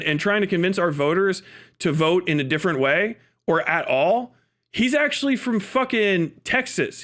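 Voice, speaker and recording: High-pitched man ranting casually. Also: a bit bright. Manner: sweary, emphatic, argues by asking and answering own questions. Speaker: livestream host. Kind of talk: casual ranting political commentary.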